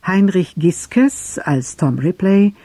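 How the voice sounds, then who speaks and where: A woman announcer reading radio drama credits from a script in a soft, breathy voice. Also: lowish voice, animated, takes it slowly, dry recording.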